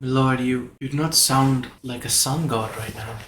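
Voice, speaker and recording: A man playing a betrayed, anguished would-be paladin in a tabletop game, halting, pleading and indignant. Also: medium pitch, fairly bright tone, roomy sound, slight background noise, pitched below the performer's own voice, natural voice, rough recording. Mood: shocked, confused.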